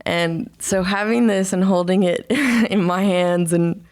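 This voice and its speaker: A young woman, an artist, talking casually in an interview, rambling and halting with many fillers and restarts. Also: on the low side, darkish tone. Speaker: album artist, interview guest. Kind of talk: casual conversation.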